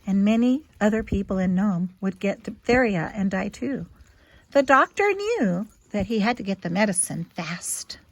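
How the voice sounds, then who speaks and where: A woman reading a children's picture book aloud in an expressive, teacherly way, with simple asides to young listeners. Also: medium pitch, normal speed, no echo.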